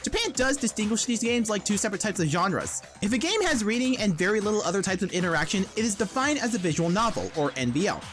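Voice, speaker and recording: Casting: irreverent video essayist, animated and fast-talking, scripted comedic narration over light music. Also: medium pitch. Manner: wry, irreverent. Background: background music.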